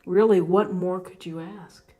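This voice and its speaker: A woman with a low voice hosts a tarot reading at a slow, unhurried pace. She explains the cards off the cuff, leaving deliberate pauses between phrases and offering gentle encouragement. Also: steady tone. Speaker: tarot reader, host.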